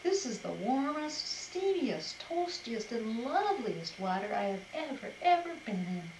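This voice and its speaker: A woman voicing a vain, showy girl rabbit in a storybook, talking in a shrill, gushing, theatrical way. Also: sing-song, measured pace, echoey room, noisy background.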